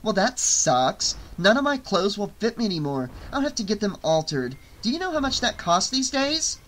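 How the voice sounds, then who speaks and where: A man's voice: sits high, very animated, normal speed, a bit bright, voice pushed up, heavily acted. A man voicing a cheerful, breezy dead young woman in an audiobook, chatty and unbothered. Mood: breezy complaining.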